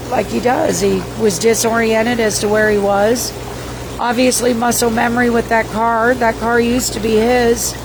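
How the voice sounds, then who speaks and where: Hoarse woman, fairly high voice, profane rambling livestream host; noisy recording. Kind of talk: casual livestream recap reading viewer comments. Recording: noisy background.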